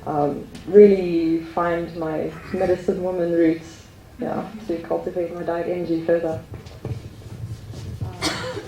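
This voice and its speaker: A woman with a low, mellow voice shares a heartfelt spiritual testimony, pausing often and filling the gaps with ums. The room is echoey, with a faint hum behind her. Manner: earnest, hesitant, many ums. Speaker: group speaker sharing testimony.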